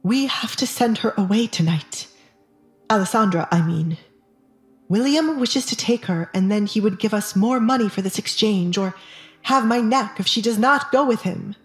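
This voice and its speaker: A woman's voice: medium pitch, normal speed, reverberant, soft background music, natural voice. A woman playing a weary, irritable middle-aged husband with secrets, grumbling dismissively in an audio drama. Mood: troubled.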